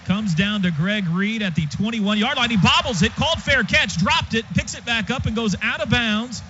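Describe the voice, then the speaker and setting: High-pitched man calling live football. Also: expressive, normal speed, bright, forceful tone. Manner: clipped running description. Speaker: play-by-play announcer. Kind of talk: live radio football commentary. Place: stadium radio booth. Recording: dull radio broadcast, stadium noise behind. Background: clear crowd and stadium noise.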